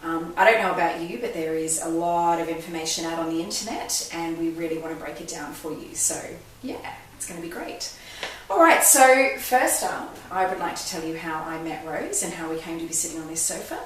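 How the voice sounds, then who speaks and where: A woman's voice: fairly low pitch, expressive, a little fast, few pauses. A woman hosting an interview, upbeat and encouraging. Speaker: host. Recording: echoey room, mic far off, faint hiss.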